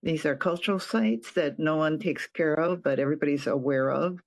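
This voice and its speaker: An older man from Hawaii, a retired professor, giving a reflective personal account with frequent pauses and restarted phrases. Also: a little high. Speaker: retired professor and nonprofit board president.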